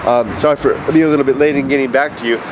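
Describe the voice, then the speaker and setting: A man in his fifties, stuffed up with allergies, leaving a rambling, halting video message full of fillers. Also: mid-range voice, steady tone. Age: 50s. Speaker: vlogger. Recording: noisy outdoor street recording.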